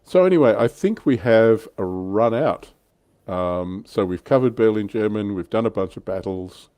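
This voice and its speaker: Middle aged aussie guy hosting a hobby stream, deep voice, dry close sound. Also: animated, unhurried, mellow, dry recording. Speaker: livestream host. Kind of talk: casual livestream chat about tabletop wargaming.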